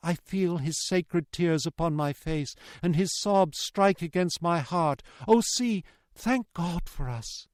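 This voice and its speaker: A gentle, devoted young woman, voiced by a male narrator, pleads in impassioned, repeating cadences. The tone is raised, smooth and tender, in a dry, intimate storybook recording. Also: a little high, slow pace, no room sound, voice pushed up. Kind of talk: dramatic audiobook character reading.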